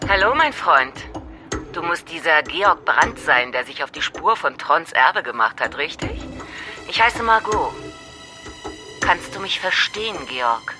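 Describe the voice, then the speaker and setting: An actress playing a mysterious woman caller in an audio drama, coaxing and commanding in an insistent, deliberate way. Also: low voice, very animated, music in the background. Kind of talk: German audio drama acting.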